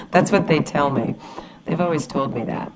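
A woman with a low, strong voice hosts a casual radio talk show. She chats eagerly with her guest, full of ums, restarts and gushing praise, and she talks loud enough that she barely needs a mic. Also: heavy background noise. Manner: chatty, enthusiastic, many fillers and restarts. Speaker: radio show host and numerologist. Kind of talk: casual radio interview chat.

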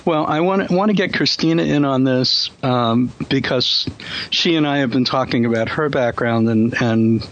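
A man's voice: medium pitch, moderate pace, muffled recording. A man hosting a casual discussion, speaking thoughtfully with frequent ums and you-knows. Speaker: host.

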